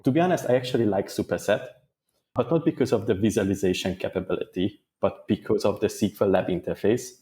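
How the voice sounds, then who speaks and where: A man answering interview questions as a guest, in a thoughtful, measured way with small restarts. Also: lowish voice, mellow. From Hungary. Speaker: guest data engineer.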